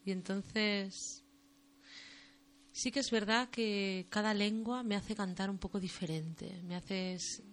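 Soft-spoken woman singer, thoughtful. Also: fairly low pitch. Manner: thoughtful, searching for words, soft. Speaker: singer, interview guest. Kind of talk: relaxed radio interview.

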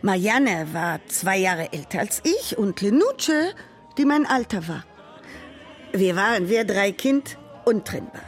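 An actress playing an elderly grandmother who tells a grandchild about her childhood, speaking haltingly with broken grammar. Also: medium pitch, big pitch swings, soft background music. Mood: reflective. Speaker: narrator figure telling a childhood memory. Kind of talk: radio drama monologue.

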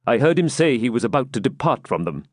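A man's voice: medium pitch, big pitch swings, fast, dry recording. Man playing grim battle-worn knight, dry sound. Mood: insistent. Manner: emphatic, solemn archaic speech.

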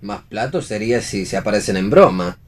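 An actor playing a blunt, deadpan male stage performer in a radio play reading. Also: on the low side, a little fast. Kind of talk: radio read theatre dialogue.

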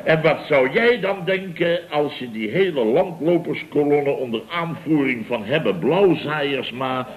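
Pompous, animated contractor boss. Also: male voice, on the high side, a little slow, few pauses. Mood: indignant, boastful. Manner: pompous, rambling, chuckling raconteur with exclamations. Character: blustering, self-important building contractor boss, comically indignant. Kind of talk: 1960s-70s Dutch radio comedy acting. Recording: dull vintage radio recording.

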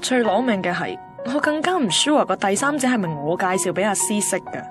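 An actress playing a concerned, easily flustered young woman friend in a Hong Kong Cantonese radio drama, colloquial and animated. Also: mid-range voice, fairly quick, close to an everyday voice. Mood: anxious, guilty. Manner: animated, colloquial, mixes in English words. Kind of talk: Cantonese radio drama dialogue and inner monologue. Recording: music bed under the voice.

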